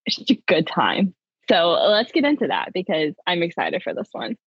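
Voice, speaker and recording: A woman's voice: fairly low pitch, normal speed, breathy edge, dry recording, highs cut off. A woman with a Southern accent from near the Florida–Georgia border, chatting casually and giggly as a podcast co-host. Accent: Southern US mixed with Florida. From American South, near the Florida–Georgia border. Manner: giggly, animated, plays up her own pronunciations. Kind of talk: casual chat.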